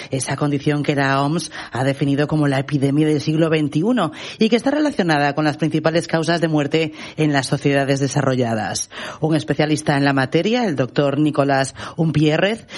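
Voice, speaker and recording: A woman co-hosting a radio show, formally and courteously reading the program rundown. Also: low-pitched.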